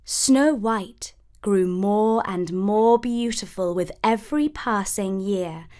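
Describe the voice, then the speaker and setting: A woman narrating a scripted children's fairy tale as a storyteller, expressive and deliberate. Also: mid-range voice.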